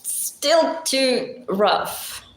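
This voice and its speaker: Moroccan-accented woman, livestream host, deep-voiced, blunt and chatty, unhurried, roomy echo. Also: lively intonation. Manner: chatty, blunt, laughs often, thinks aloud. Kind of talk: casual livestream chat reading comments.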